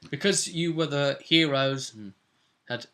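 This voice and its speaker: Bright-voiced man running a tabletop game. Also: a little high, a little slow. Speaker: game master. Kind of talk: casual recap of a tabletop role-play session.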